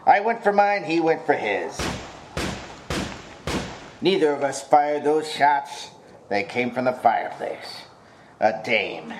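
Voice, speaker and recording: A man's voice: mid-range voice, big pitch swings, slow pace, pauses often, noisy background. A man playing a tough, wary, wisecracking gang boss in a hard-boiled noir radio comedy, speaking tersely. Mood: grim narrating.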